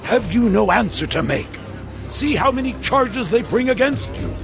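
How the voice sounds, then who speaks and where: An actor playing an exasperated Roman governor, questioning emphatically in a theatrical scripture drama. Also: male voice, very high voice, expressive, normal speed, vocal fry, over music, heavily acted.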